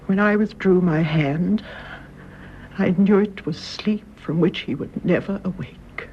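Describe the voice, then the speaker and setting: An actress playing a composed, stoic older mother in a radio drama, speaking in a measured, tender way that drops into hushed tones. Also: on the low side. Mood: grave, sorrowful. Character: composed, stoic elderly mother confessing to mercy killing. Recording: muffled, hissy old radio drama recording.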